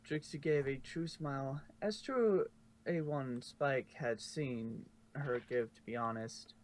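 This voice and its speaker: A man's voice: medium pitch, slow pace. A man reading scripted story narration aloud as the narrator. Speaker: narrator of a fan fiction reading. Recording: faint background noise.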